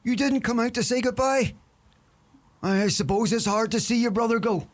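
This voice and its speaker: A man's voice: very high-pitched, normal speed. An actor playing a wry, gloomy older countryman with a Donegal Irish accent in a radio play. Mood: wry, reflective. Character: older rural Irish man, wry, gloomy, folksy sage.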